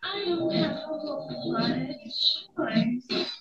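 A woman voicing a feisty, frightened teenage mutant girl on the run in an animated series, thinking aloud dramatically. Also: high-pitched, takes it slowly, recorded from a distance, a crowd in the background, exaggerated voice. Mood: upset, scared. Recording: dull lo-fi playback, off-mic.

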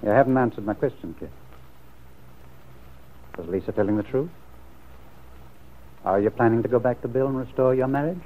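A man's voice: mid-range voice, moderate pace, long pauses, close to an everyday voice. An actor playing a suspicious, brooding man in a classic radio soap opera, earnest and probing, with hesitant phrasing. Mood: reproachful. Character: suspicious, brooding lover pressing for the truth. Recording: muffled lo-fi vintage radio recording.

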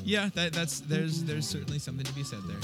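A man's voice: fairly high pitch, fast talker, over loud music. A man in his thirties hosting a casual sports podcast, bantering easily and laughing often. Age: 30s. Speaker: podcast host.

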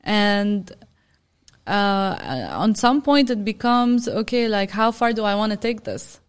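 Podcast guest, woman, measured and reflective, medium pitch. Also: expressive, clean tone. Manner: thoughtful, hesitant, frequent fillers. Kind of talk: casual interview chat.

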